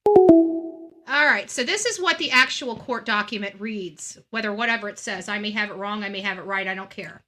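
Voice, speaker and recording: A woman's voice: medium pitch, moderate pace, a bit bright, soft background music. A woman hosting a live call-in show in a casual, blunt, opinionated way.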